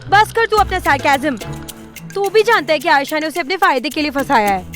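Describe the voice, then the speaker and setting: A woman playing a bossy, sharp-tongued young city woman in an audio drama, assertive and switching between Hindi and English. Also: high-pitched, lively intonation, moderate pace, soft background music. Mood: irritated, demanding.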